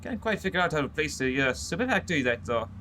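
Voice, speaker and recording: Man acting nervous, animated scientist. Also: fairly high pitch, quick pace, a little hiss or hum, full character voice. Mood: helpful, hesitant. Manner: hesitant, fretful. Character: nervous, anxious, timid male scientist. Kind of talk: amateur audio drama acting.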